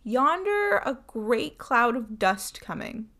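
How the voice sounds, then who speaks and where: A woman's voice: fairly high pitch, very animated, slow, sharp tone, heavily acted. A woman voicing a watchful young sister reporting what she sees from a lookout, in a storybook reading.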